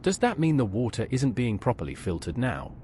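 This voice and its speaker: A synthetic man's voice playing a steady, helpful adventurer in fantasy video game dialogue. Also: medium pitch, a little fast, a little hiss or hum. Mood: calm, inquiring.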